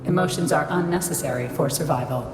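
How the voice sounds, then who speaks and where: Echoing, low-voiced cold female computer played by a woman. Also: quick pace, natural voice. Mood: cold, formal. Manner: formal, clipped, emotionless machine delivery. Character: cold, imperious female supercomputer system, rigidly logical. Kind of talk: video game cutscene voice acting. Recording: echoey long reverb under clear music.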